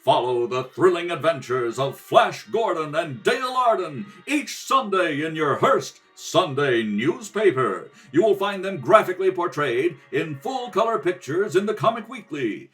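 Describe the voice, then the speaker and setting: A man's voice: fairly high pitch, very animated, unhurried, few pauses, fairly bright tone, soft background music, rough recording. A man narrating in the dramatic, theatrical style of a 1930s radio serial announcer. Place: kitchen table at home.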